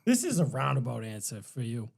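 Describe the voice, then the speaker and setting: A man's voice: mid-range voice, sing-song, fairly quick, vocal fry, a little mellow, breathy edge. A man with a strong Boston accent, a podcast guest telling stories in loose, profane casual chat. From Massachusetts. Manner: profane, laid-back storytelling.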